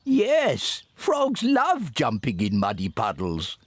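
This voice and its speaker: A man voicing a kindly old grandfather pig in a cartoon, gentle and coaxing. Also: mid-range voice, huge pitch swings, slow pace, heavily acted.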